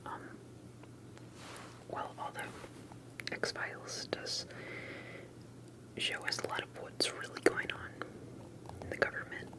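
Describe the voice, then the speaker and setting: A woman performing a whispered ASMR roleplay as a secretive, cautious investigator, speaking in a hushed and hesitant way with soft pauses. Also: poor audio. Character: secretive, cautious investigator interviewing an alien abductee.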